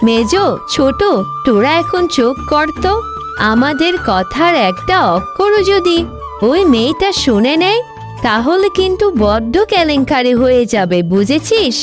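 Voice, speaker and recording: A woman's voice: high-pitched, very animated, unhurried. A woman playing a weary, cautious eldest sister-in-law in a cartoon family drama, resigned and elder-sisterly in manner. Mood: hushing, resigned. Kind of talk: melodramatic Bengali cartoon dialogue. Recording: clear music behind the voice.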